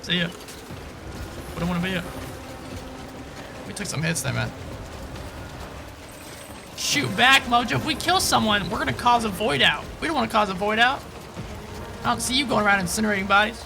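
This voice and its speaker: Animated male streamer with some vocal fry. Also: high-pitched, moderate pace, long pauses, background noise. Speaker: game streamer. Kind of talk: casual live gameplay commentary, chatting with viewers.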